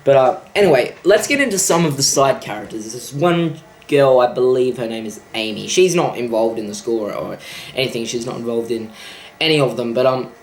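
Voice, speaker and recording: A man's voice: medium pitch, a little fast, slight background noise. A young man ranting about a film in a sarcastic, profane, exasperated way. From Australia. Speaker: vlogger. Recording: echoey room.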